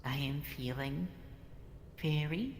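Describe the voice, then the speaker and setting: A woman with a low voice role-plays over VR chat. Her audio is echoey, with clear noise behind it. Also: slow pace, a little mellow. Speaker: role-player in a virtual reality game. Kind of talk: improvised in-character role-play chat. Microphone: VR voice chat microphone.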